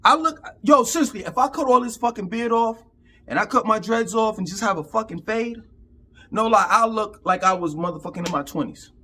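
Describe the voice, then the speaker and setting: A man's voice: high-pitched, fairly quick, pushed and bright. A man in his fifties giving an emphatic, profane, preachy rant to camera in street talk. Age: 50s. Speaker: vlogger.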